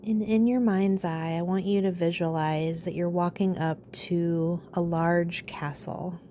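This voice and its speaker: A woman leading a guided meditation in a calm, soothing, unhurried way, pausing between phrases. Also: fairly low pitch, slight background noise.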